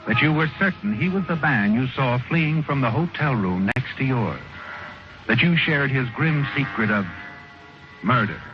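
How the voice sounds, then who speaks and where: An actor narrating a 1940s radio crime drama as an ominous, mocking storyteller who speaks insinuatingly, straight to the character. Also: male voice, on the high side, dull audio, lightly acted. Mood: sardonic, ominous. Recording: noisy, band-limited old radio recording.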